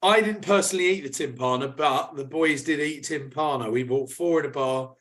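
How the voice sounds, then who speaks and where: A man, slightly hoarse, co-hosting a casual podcast and telling stories with lively, laddish banter. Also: fairly high pitch, normal speed. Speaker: podcast co-host. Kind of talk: casual chat.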